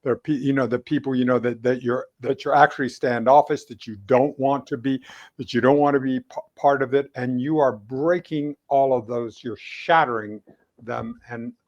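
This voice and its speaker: A man's voice: medium pitch, steady tone. Earnest man, slightly muffled audio. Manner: earnest, halting, repeats phrases for emphasis. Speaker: interviewer, host. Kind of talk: conversational interview.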